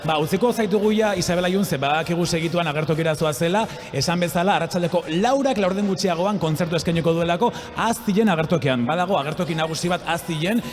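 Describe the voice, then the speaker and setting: Brief: male host, Basque accent, slightly high voice, casual live festival chat, reverberant sound. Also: quick pace, slight background noise. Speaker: radio host. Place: festival radio set. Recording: echoing live PA with loud music.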